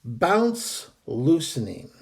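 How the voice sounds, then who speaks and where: A man with a hoarse voice from a cold, giving a casual spoken lesson in a relaxed, halting way with frequent fillers. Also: mid-range voice. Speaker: astral projection teacher. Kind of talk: casual instructional talk.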